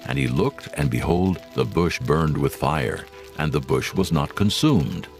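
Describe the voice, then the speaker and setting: A man's voice: very deep voice, expressive, measured pace, faint music behind. A man narrating scripture for a dramatized audio Bible in solemn storytelling style.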